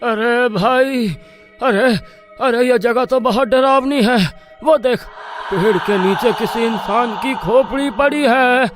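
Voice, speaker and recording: A man acts a frightened villager in a horror comedy tale. The voice is extremely high and slow, whining and panicky. Faint music runs underneath. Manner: panicky, wailing, drawn-out exclamations.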